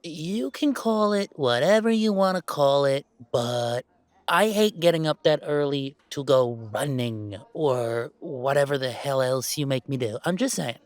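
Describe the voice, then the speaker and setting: A man's voice: a little high, animated, measured pace, dry recording. A man playing a weary but fond werewolf gamer who streams, bantering and complaining in a conversational roleplay audio. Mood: playful grumbling. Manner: sighing, bantering, mock-defensive complaining. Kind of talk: one-sided roleplay audio drama, conversational.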